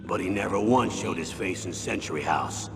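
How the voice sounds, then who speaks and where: An actor playing a stern, persuasive ageing army colonel in a video game, grave and deliberate as he briefs. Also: sits low. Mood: firm. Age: middle-aged. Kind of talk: video game cutscene voice acting. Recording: long reverb, clear background noise.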